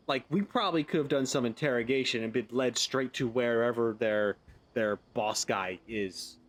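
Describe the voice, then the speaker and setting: A man streaming a game with casual let's-play commentary, rambling as he thinks aloud. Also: a little high. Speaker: gaming streamer.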